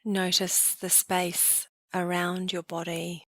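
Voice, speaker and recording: Female meditation guide: very slow, even delivery, gentle and calm, soft phrase endings. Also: on the low side, no echo, rough recording.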